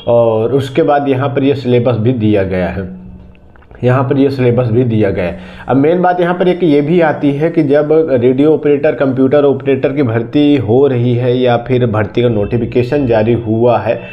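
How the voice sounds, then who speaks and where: A slightly dull, lo-fi recording of a man with a medium-pitched voice. He talks informally to viewers as a presenter, explaining an update in a conversational way. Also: moderate pace. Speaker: exam news presenter.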